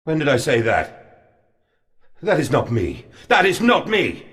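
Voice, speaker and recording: A man's voice: fairly high pitch, huge pitch swings, unhurried, a bit bright, husky, full character voice. An actor playing an anguished, guilt-ridden father in a video game, speaking with dramatic, despairing vehemence. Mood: horrified remorse. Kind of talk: theatrical video game acting.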